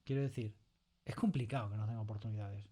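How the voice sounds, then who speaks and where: A man's voice: lowish voice, fairly even intonation, fast talker, dark tone, husky. A man with an accent from Spain talking casually as an interview guest, repeating phrases for emphasis. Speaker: audio fiction scriptwriter, interview guest.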